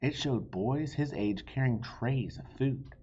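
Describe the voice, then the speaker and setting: A man reading a children's book aloud as an expressive narrator. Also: medium pitch, takes it slowly, husky, highs cut off. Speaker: storybook reader.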